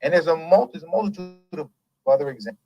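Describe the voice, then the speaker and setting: A man hosting a casual commentary show, talking in an animated, emphatic and rambling way and laughing often. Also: fairly high pitch, fast, muffled recording, faint music behind. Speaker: host.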